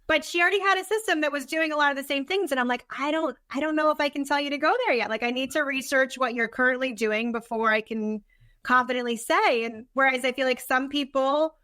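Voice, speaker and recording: Female podcast guest, highish voice, dry sound, earnest, informal, animated quoting. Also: fast talker, no echo. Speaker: podcast guest, business consultant. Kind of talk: casual conversational interview.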